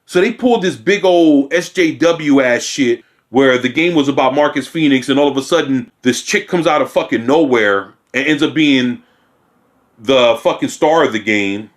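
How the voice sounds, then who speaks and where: A man talks through a news piece in a loose, unscripted way, reading parts aloud and then cutting in with blunt, profane opinions. His voice sits at an ordinary middle pitch. He often trips over and restarts his sentences as he goes. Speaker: video commentator. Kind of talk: casual reaction rant reading news aloud.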